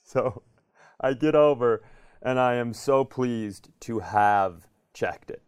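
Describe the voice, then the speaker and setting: A man's voice: medium pitch, slow, clear, resonant tone, dry recording, clean studio sound. A middle-aged American man co-hosting a podcast, telling comic stories casually and emphatically, repeating phrases for effect. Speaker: podcast co-host. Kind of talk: animated comedic storytelling in casual chat.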